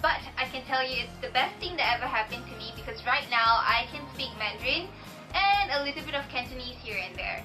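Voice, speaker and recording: A woman's voice: high-pitched, expressive, fairly quick, music in the background, poor audio. A young woman with a Malaysian accent, chatting casually and enthusiastically as she introduces herself on video. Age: young adult. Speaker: pageant contestant.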